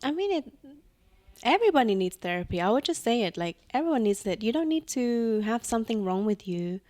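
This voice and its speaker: A woman's voice: fairly high pitch, sing-song, a little fast, darkish tone, dry recording. A young woman co-hosting a podcast, telling stories in a reflective, easygoing way. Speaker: podcast co-host. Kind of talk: casual chat.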